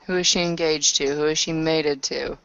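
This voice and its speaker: Female tabletop player, slightly low register, conversational in-character delivery, muffled recording. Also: fairly even intonation, moderate pace, a bit bright, clear, resonant tone. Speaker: player in a tabletop roleplaying game. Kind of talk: casual in-character roleplay chat. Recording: dull, lo-fi audio.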